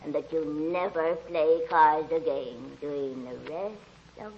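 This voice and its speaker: Dull, faintly noisy vintage radio recording of an old countess's ghost. She speaks slowly and imperiously, stern and solemn, in grand 1940s radio drama style. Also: sits low. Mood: solemn, ominous. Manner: slow, solemn, imperious delivery.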